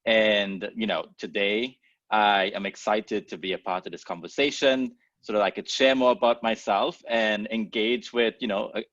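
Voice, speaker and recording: Relaxed man from Trinidad and Tobago. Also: lowish voice, no room sound, lo-fi sound. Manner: relaxed, easygoing, quick to laugh. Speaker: podcast guest. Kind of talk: casual interview conversation.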